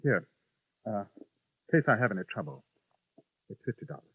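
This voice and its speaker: An actor playing a polite, drily menacing gentleman gunman, who also narrates in 1950s radio-drama style. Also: lowish voice, sing-song, fairly quick. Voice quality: crisp, formal, drily menacing. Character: cool, polite gentleman gunman, middle-aged man. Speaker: narrator and lead. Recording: tinny old radio broadcast, faint hiss.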